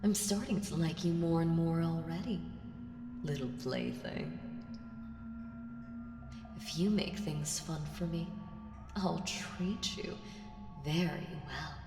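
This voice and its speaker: In a long, cavernous reverb over music, a woman performs an ancient evil female entity for an audio roleplay. She speaks very slowly, teasing and condescending, drifting between seductive murmur and breathy whisper. Menace sits under every playful phrase. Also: low voice, heavily acted. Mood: amused, menacing.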